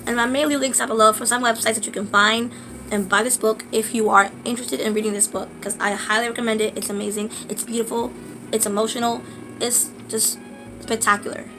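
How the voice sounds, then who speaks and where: A woman with a sore throat, reading a book aloud slowly in a casual vlog, with halting restarts and asides. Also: fairly high pitch, level tone, noisy background.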